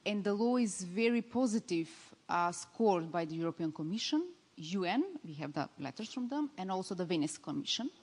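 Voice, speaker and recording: Woman, low voice, hall echo. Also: expressive, a little mellow, husky. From North Macedonia. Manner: halting, non-native phrasing with restarts. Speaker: government representative. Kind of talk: panel answer. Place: large conference hall. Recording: reverberant conference hall.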